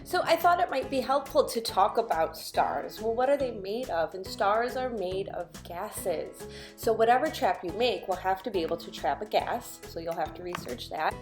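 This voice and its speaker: Female kids' educator, mid-pitched, simple guiding delivery, lesson and read-aloud style, music underneath. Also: expressive, moderate pace, hardly any pauses. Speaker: children's STEM teacher and presenter.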